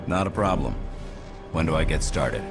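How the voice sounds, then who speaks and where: Deep-voiced tough, laconic secret agent. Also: male voice, lively intonation, moderate pace, vocal fry, over loud music, heavily acted. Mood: terse, composed. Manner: terse, businesslike. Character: tough, laconic male American spy agent.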